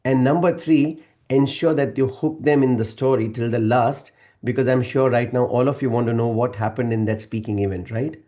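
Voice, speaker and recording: A man's voice: mid-range voice, steady tone, normal speed, warm tone. A man from India giving a short talk on public speaking, earnest and repeating key words for emphasis. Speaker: guest speaker.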